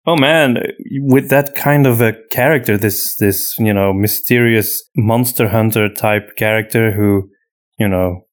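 A man talking casually and unscripted as a podcast host, often halting and repeating himself as he searches for words. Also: on the low side. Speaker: podcast host and story writer answering listener questions.

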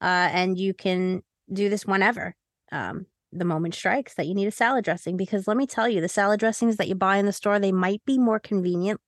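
A woman's voice: medium pitch, a little fast, no echo, clean studio sound. A woman co-hosting a podcast in a casual, chatty style with a playful, jokey manner. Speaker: podcast co-host.

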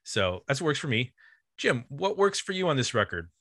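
A man's voice: mid-range voice, very animated, normal speed, pushed and bright, dry recording, clean studio sound. A man talking casually and conversationally as a podcast host discussing music, rambling a little as he goes.

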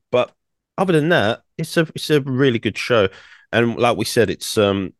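Deep-voiced man with a croaky throat. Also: no echo, clean studio sound. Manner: rambling, many fillers and restarts. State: croaky from singing. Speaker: podcast co-host. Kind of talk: casual podcast chat.

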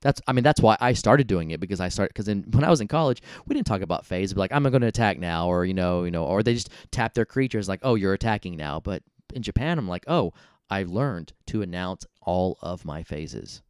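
A man's voice: low voice, expressive, fairly quick, clean tone, dry recording, studio quality. A man who speaks English natively, chatting casually and offering practical advice as a co-host. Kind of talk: casual chat with advice and anecdotes.

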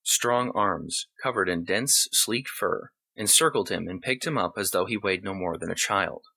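Bright, husky low-voiced man reading fiction. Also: moderate pace. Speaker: short story reader. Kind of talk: scripted narration of fiction.

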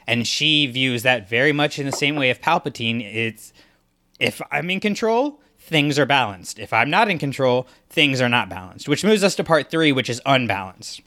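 A man's voice: mid-range voice, animated, normal speed, bright, forceful tone. A man co-hosting a podcast, talking through a fan analysis in an emphatic way and acting out imagined reactions. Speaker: podcast co-host.

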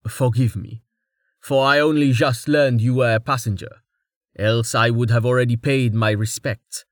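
A man's voice: medium pitch, fairly even intonation, moderate pace, dry recording, clean studio sound, natural voice. A man voicing a winged bird-man nobleman who speaks in stiff, overly courteous formal phrases. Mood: pompous.

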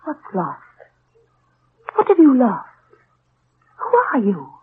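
High-voiced troubled countess. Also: female voice, wildly swooping pitch, slow pace, husky, exaggerated voice. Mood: agitated, searching. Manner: theatrical, intense, swooping delivery. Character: troubled, searching aristocratic countess, haunted by her past. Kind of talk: 1940s radio acting. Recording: tinny, hissy old radio recording.

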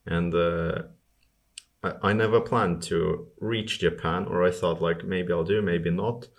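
Very deep-voiced man, casual interview guest. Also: fairly even intonation, measured pace. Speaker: interview guest, bike-touring traveller.

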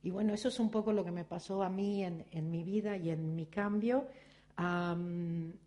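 Argentine woman, mellow, reflective talk. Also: lowish voice, normal speed. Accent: Argentine Spanish. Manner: reflective, personal, addressing the audience with frequent rhetorical questions. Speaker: spiritual speaker. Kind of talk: motivational talk.

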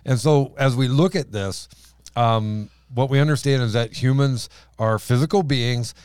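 Deep-voiced man hosting a faith podcast. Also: moderate pace, a little mellow, smooth, dry recording, clean studio sound. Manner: laughs often, restarts sentences. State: strained vocal cords. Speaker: podcast host. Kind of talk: casual Bible podcast chat.

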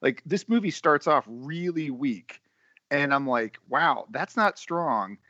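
A man's voice: fairly high pitch, animated, slow, sharp tone, no echo, lo-fi sound. A man co-hosting a podcast, giving blunt, opinionated film commentary in a casual style. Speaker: podcast co-host.

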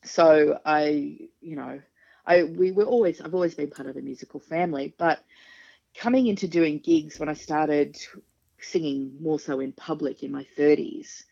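A woman in her forties with a very low, gravelly speaking voice, chatting frankly and with quick wit about her singing career. The audio is a little dull and lo-fi, like a home podcast setup. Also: warm tone. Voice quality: deep speaking voice. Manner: frank, chatty, quick-witted, laughs often. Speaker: singer, guest. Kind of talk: casual interview chat.

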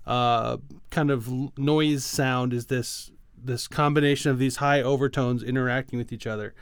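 A man's voice: medium pitch, fairly even intonation, normal speed, clean tone, no room sound, studio quality. A man co-hosting a podcast, explaining things step by step in a casual, informal way. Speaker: podcast co-host.

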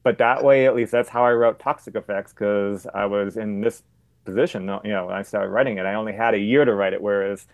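A man's voice: lowish voice, fairly even intonation, a little fast. A man talking casually as an author guest on a panel, in a matter-of-fact way with many fillers.

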